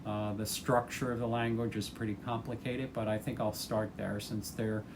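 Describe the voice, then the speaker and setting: A retired man in his sixties, a former chemist, talking casually to the camera about his plans, thoughtful and unhurried, with many ums and restarts. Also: lowish voice, level tone, noisy background. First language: English.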